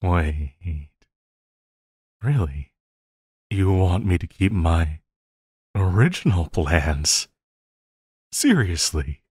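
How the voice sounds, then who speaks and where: A man acts out a lovestruck, obsessive villain in an intimate audio roleplay. His low voice swoops theatrically through a slow drawl, prone to giggles. He sounds playfully menacing, yet ready to plead like a devoted lover. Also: sing-song, darkish tone, slightly rough, no room sound, clean studio sound, heavily acted. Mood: amused, delighted.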